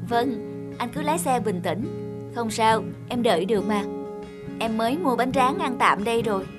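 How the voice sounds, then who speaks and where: A woman voicing a young female traveller in a cartoon, expressive and quick to judge. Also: a little high, loud music behind the voice, close to an everyday voice. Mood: friendly. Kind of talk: cartoon dubbing.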